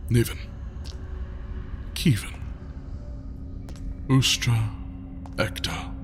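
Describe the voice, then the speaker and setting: An actor playing a brooding, guilt-ridden reclusive scientist in an audio drama, weary, introspective and terse. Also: male voice, medium pitch, expressive, very slow. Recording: loud music behind the voice.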